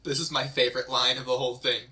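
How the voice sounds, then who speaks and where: A man's voice: medium pitch, flat delivery, fast talker, sharp tone. A man leading an amateur script table read, dropping wry, amused casual asides. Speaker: table read host and reader.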